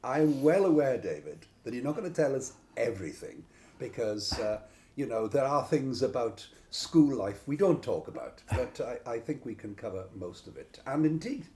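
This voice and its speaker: An older man, interviewing conversationally in a courtly, wordy, gently teasing manner. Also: mid-range voice, animated, normal speed, a little hiss or hum. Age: 70s or older.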